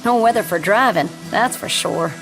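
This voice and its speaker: A woman's voice: medium pitch, lively intonation, normal speed, close to an everyday voice, rough recording. An actress playing a friendly, folksy young country woman with a Southern US accent in a video game cutscene. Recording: music behind the voice.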